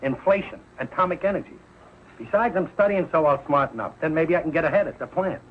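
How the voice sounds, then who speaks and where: A man's voice: a little high, animated, fast, close to an everyday voice. A man acting as a middle-aged working-class New father, blustery and easily exasperated, his delivery swinging up and down. He fumbles his grammar in vintage sitcom style, on a dull, slightly noisy old television soundtrack. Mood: earnest. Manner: blustering, ungrammatical, hesitant when cornered.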